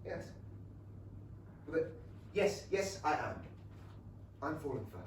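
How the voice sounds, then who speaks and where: An actor playing an eager, naive young Regency gentleman in love, in a stage comedy. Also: a little high, big pitch swings, takes it slowly. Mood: infatuated, flustered. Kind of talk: period comedy stage acting. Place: small London theatre. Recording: echoey theatre, distant mic, faint noise.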